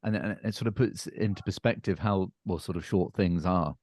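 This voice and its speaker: A man's voice: deep voice, normal speed, a little mellow, dry recording, clean studio sound. A man in his thirties, a podcast host asking friendly questions in casual chat. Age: 30s.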